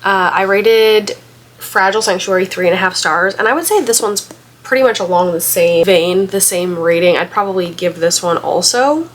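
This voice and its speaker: A woman's voice: medium pitch, normal speed. A woman vlogger getting over a head cold and still congested, chatting casually in a rambling way with lots of filler words. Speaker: book vlogger. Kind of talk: casual vlog chat.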